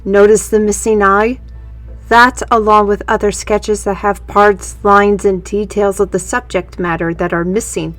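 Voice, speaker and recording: A woman's voice: mid-range voice, level tone, measured pace, fairly bright tone, no room sound, soft background music, natural voice. A woman playing a defiant, sardonic young artist with magical powers in a fantasy audio drama, speaking formally and pointedly. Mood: explaining.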